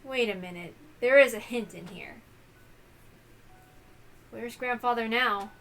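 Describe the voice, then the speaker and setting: A woman's voice: on the high side, takes it slowly, fairly bright tone. A woman reading a visual novel aloud as dramatic scripted narration.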